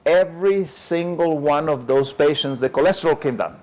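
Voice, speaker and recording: A man who is a lifestyle medicine doctor, telling his story as an interview guest in a relaxed way, with many fillers and frequent chuckles. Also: a little high, normal speed, low-quality recording.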